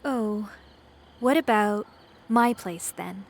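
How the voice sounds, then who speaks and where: A woman's voice: mid-range voice, slow pace. A woman playing a sweet, awkward, anxious young woman in a comedy horror audio drama, hesitant and apologetic. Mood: nervous.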